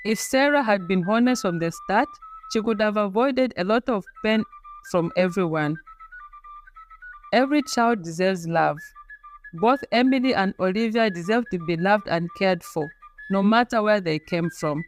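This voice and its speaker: A woman narrates a scripted folktale as a storyteller. Her pitch sits in the middle range for a woman. She tells it plainly in short, simple sentences, with music playing behind her voice. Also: moderate pace.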